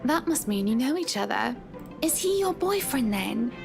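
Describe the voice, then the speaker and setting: An actress playing a haughty, teasing married society hostess in a visual novel, speaking in an arch, genteel manner. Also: female voice, on the high side, very animated, moderate pace, gravelly. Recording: music behind the voice.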